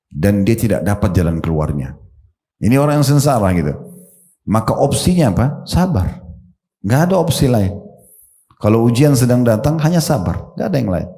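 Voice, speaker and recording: A man's voice: medium pitch, warm tone. A middle-aged male preacher giving a sermon, talking straight to his listeners and explaining with everyday examples and questions. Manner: addresses his listeners directly.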